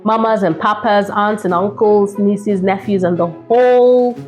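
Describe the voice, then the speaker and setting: A woman's voice: medium pitch, steady tone, a little slow, a little mellow, soft background music. A woman hosting a children's read-aloud, playful and chatty, speaking straight to her young listeners. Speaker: children's storytime host and reader.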